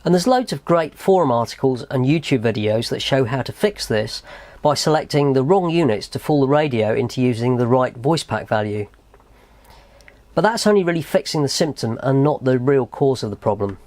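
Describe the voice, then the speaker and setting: Guy doing a how-to video, explains step by step, normal pitch, really dry close sound. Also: lively intonation, moderate pace, darkish tone, no room sound. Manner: methodical, explanatory. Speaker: host of a tutorial channel. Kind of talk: how-to tutorial narration.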